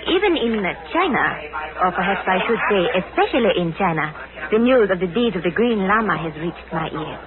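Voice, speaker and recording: A woman's voice: mid-range voice, big pitch swings, brisk, crowd noise, natural voice. Woman acting a poised, enigmatic Chinese lady on tinny radio. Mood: gracious, veiled. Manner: formal, silky, veiled warnings. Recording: tinny 1949 radio recording, background hubbub.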